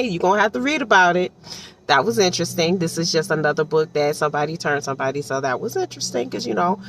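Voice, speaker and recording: A woman's voice: lowish voice, a bit bright. A woman from Detroit with an American accent gives casual, rambling commentary in a slangy, conversational way full of asides. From Detroit, United States. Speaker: audiobook reviewer. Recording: distant mic with faint background noise.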